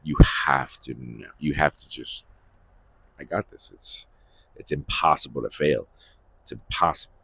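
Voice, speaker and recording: A man with a husky voice giving a rambling, unscripted spiritual monologue, emphatically repeating phrases for stress as he hosts. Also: rumbling low, unhurried, pauses often, sharp tone. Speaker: host of a spiritual series.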